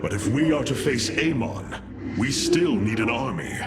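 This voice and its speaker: A man playing a noble, resolute alien commander in a video game, speaking in formal, measured oratory. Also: mid-range voice, expressive, lightly acted. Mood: determined. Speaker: military leader. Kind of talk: video game cinematic acting. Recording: dramatic music behind the voice.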